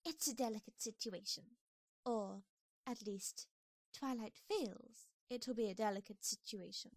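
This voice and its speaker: A woman's voice: mid-range voice, a little slow, dry recording, studio quality. A woman playing a refined, gossipy female pony who frets over her friend in an amateur audio drama. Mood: confiding.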